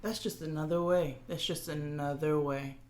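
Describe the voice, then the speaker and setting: A woman's voice: sits low, normal speed. A woman with a New York accent co-hosting a podcast in casual chat, joking and laughing often. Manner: laughs a lot, animated and joking. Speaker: podcast co-host.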